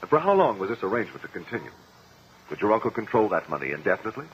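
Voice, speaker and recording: Muffled old radio courtroom lawyer, expressive. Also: male voice, lowish voice, a little hiss or hum. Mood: probing. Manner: formal, measured, probing. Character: calm, methodical male lawyer questioning witnesses in court. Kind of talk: 1950 radio courtroom drama acting.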